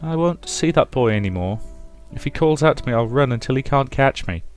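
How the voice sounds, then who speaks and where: A man voicing a frightened, submissive young girl in a visual novel, timid, halting and pleading. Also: mid-range voice, expressive, voice pushed up, natural voice. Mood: timid, obedient. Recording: music behind the voice.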